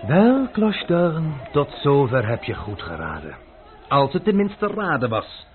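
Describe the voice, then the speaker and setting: A man playing a wry, ironic gentleman traveler in a radio play. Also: a little high, big pitch swings, unhurried. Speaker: gentleman master. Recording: lo-fi old radio recording.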